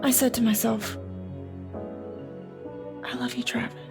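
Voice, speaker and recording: A woman's voice: a little high, little pitch movement, measured pace, creaky. Casting: actress as soldier's wife, devoted mother, reflective and tender audio drama delivery, music underneath. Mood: wistful, reminiscing.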